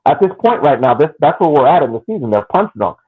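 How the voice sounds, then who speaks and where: A man's voice: a little high, sing-song, quick pace, breathy edge, phone-quality sound. A man co-hosting a casual sports podcast, giving long analytical takes with frequent restarts. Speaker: podcast co-host.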